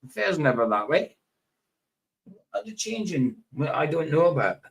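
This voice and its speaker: A man's voice: on the high side, very animated, normal speed, gravelly, poor audio. A middle-aged Scottish man chatting on a live stream, repeating himself and drifting into tangents as he talks over a video. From Scotland.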